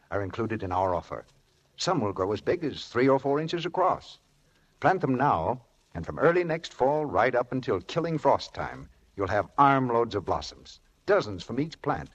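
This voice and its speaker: A man working as a radio announcer, reading a scripted 1940s advertisement in a slightly husky voice. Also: medium pitch. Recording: muffled old radio recording.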